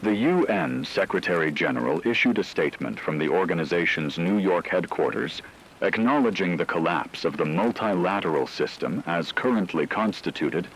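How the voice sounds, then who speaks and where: A low-pitched man acting as a grave radio newsreader delivers scripted bulletins on a fictional emergency broadcast. He is formal, measured and solemn, with a light bed of noise and rain behind him. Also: fairly bright tone, low-quality recording. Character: grave male radio newsreader reporting global collapse. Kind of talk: scripted news narration.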